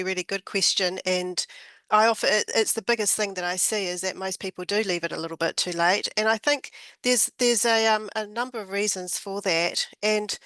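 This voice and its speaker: A woman with a croaky voice, speaking as a guest advisor on a video call webinar and repeating words as she thinks. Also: medium pitch, a bit bright, no echo. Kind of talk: webinar discussion.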